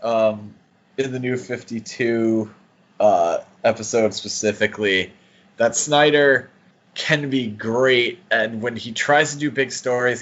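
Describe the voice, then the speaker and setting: A man's voice: medium pitch, fairly bright tone, lo-fi sound. A man co-hosting a podcast in casual chat, with an easygoing manner and joking asides. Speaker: podcast co-host.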